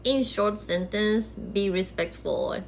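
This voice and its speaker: A woman's voice: mid-range voice, takes it slowly. A woman from Japan with a Japanese accent, a native Japanese speaker, explaining her opinions directly and firmly to camera. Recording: steady background noise.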